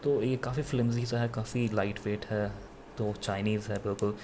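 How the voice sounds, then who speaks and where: A man with an Indian accent giving an unscripted gadget review in a halting, self-correcting way, switching between two languages. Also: lowish voice, steady tone, background noise. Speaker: product reviewer. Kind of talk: unscripted gadget review, mixing Hindi with English.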